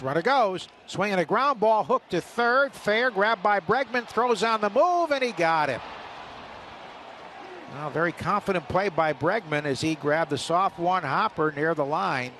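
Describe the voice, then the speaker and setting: A man with a fairly high voice calls baseball play-by-play for radio. Faint outdoor ballpark noise sits behind his commentary. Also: fairly bright tone. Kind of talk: live radio sports commentary. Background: outdoor ballpark ambience.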